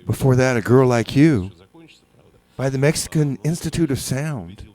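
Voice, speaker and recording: A man's voice: mid-range voice, expressive, warm tone. Rambling, hesitant male radio DJ. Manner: hesitant, rambling, halting with repeated words. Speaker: community radio music show host. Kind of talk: casual radio DJ chat with deadpan comedy. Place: small community radio studio.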